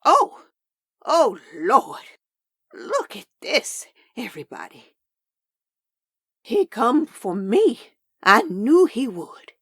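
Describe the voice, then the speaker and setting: A woman playing a devout, excitable churchgoing woman in a comic dub, exclaiming with fervor. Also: on the high side, drawn-out words, pauses often, slightly rough, dry recording, clean studio sound, exaggerated voice. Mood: rapturous. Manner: fervent, exclaiming. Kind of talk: comic dub character acting.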